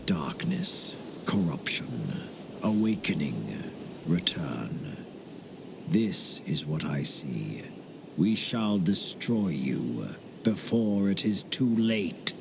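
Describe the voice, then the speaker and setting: An actor playing an ancient, menacing fae seer in a fantasy game, theatrical and portentous with whispery turns. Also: male voice, low voice, big pitch swings, slow, gravelly, background noise, exaggerated voice. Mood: doom-laden. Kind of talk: fantasy RPG voice acting. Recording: game dialogue over loud music.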